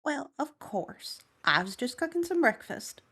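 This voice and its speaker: A woman's voice: high voice, big pitch swings, a little slow, bright, forceful tone, heavily acted. A woman playing a friendly, chatty, plain-spoken woman on a phone call in a table read. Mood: friendly, delighted. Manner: chatty, encouraging. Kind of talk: table read of a science fiction story.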